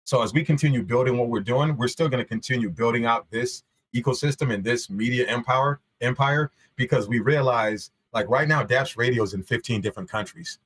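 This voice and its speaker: A man's voice: medium pitch, fairly quick, few pauses, fairly bright tone, breathy edge, poor audio. A man whose first language is Shona, a guest talking casually on a podcast, laid-back and full of slang.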